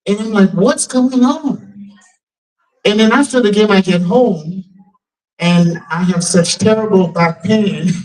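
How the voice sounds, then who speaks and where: A man's voice: high-pitched, a little mellow. A man from Haiti, telling a self-mocking personal story to a live audience. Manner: conversational, self-mocking, builds to punchlines. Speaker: timekeeper and storyteller. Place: restaurant event room. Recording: distant mic in a live venue.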